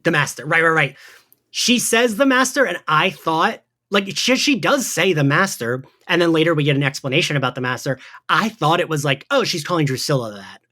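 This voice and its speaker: A chatty podcast host with a very lively, swooping delivery and a mid-range voice. The talk is casual recap, full of "like" and little check-ins of "right?". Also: medium pitch, brisk. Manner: chatty, heavy use of "like" and "right". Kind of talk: casual chat recapping an audio drama.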